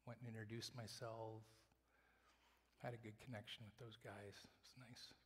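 A male preacher giving a sermon, moving between loud emphatic lines and hushed whispers. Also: on the low side, level tone, moderate pace, a little hiss or hum. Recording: long reverb of a large hall.

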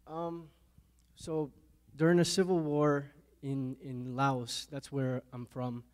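A man in his thirties from Canada gives his faith testimony at a middle pitch. He speaks haltingly, often repeating words, and his voice drops softer when he recalls painful memories. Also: little pitch movement. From Canada, born in a Thai refugee camp to Lao parents. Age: 30s. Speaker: interviewee giving a testimony. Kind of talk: earnest faith testimony interview.

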